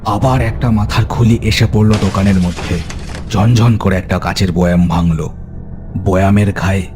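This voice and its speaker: Guy narrating spooky story, low deep voice, dramatic, music going under it.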